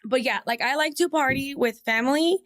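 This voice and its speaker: A young woman whose voice is a little raspy talks with a fairly high pitch. She co-hosts a podcast in relaxed conversation, often agreeing with her partner as she talks. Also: a little fast. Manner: supportive, lots of agreeing backchannel. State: slightly raspy voice. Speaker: podcast co-host. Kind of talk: casual chat.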